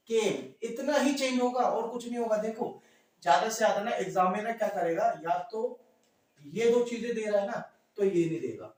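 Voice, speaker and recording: A male teacher with a sore throat drills his class, asking each question twice and answering it himself. His voice sits high for a man. He sounds distant from the mic, in a room full of echo. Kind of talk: classroom grammar lesson.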